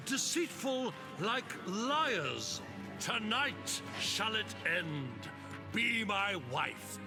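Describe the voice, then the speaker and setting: A lovestruck shapeshifter posing as a prince in a video game's stage play. His high voice swoops up and down, and he draws out each line very slowly and earnestly. Music plays behind him. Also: full character voice. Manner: declamatory, earnest, with hesitant fillers. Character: male doppler disguised as a prince, lovestruck suitor. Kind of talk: rhyming stage-play verse in a video game. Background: game music.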